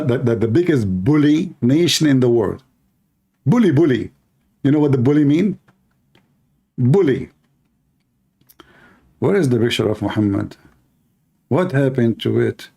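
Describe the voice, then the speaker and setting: A man's voice: mid-range voice, mellow. A man with an Arabic accent, a native Arabic speaker, hosting informal live teaching in a mocking, repetitive way full of rhetorical questions. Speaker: live stream host and religious polemicist.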